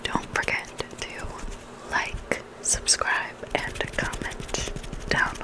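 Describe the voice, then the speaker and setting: An ASMR creator whispering scary stories in a soft, unhurried narration, phrased for dramatic storytelling. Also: rough recording. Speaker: ASMR creator reading listener horror stories.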